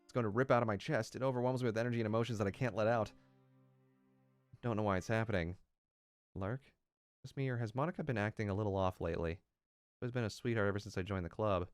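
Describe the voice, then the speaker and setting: Male reader as timid, obsessive visual novel schoolgirl, soft and earnest, smooth clear voice, faint music. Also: lowish voice, fast, long pauses, no room sound, lightly acted. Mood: anxious, clingy.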